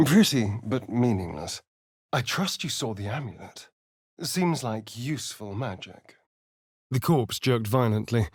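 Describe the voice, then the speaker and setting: An actor playing a sly, vain vampire rogue in a video game, with an arch, sardonic, flamboyant delivery. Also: male voice, mid-range voice, no room sound, clean studio sound. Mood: sardonic, playful. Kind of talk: video game voice acting, dramatic lines and narration.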